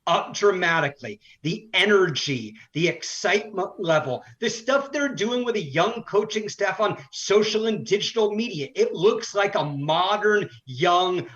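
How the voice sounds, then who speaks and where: A man's voice: high voice, animated, unhurried, hardly any pauses, fairly bright tone, muffled recording. A man who works as a sports broadcaster, giving conversational analysis as an interview guest.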